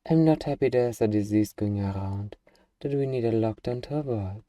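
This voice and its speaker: A man playing a gruff, defensive young zombie-apocalypse survivor with anxiety underneath, in an ASMR roleplay. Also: fairly low pitch, normal speed, dark tone, dry recording, recorded from a distance, lightly acted. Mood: anxious, hesitant.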